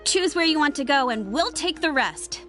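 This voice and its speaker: A woman's voice: high voice, animated, moderate pace, a bit bright, soft background music. A woman playing a confident, decisive young warrior woman in a video game.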